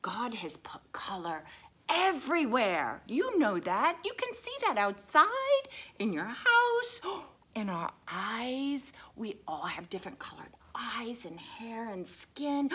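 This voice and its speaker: A woman voicing a sweet, excitable lady who reads to children, drawing out words with exaggerated wonder. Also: fairly high pitch, takes it slowly, breathy edge, voice pushed up, exaggerated voice. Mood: tender, emphatic. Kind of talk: children's story reading.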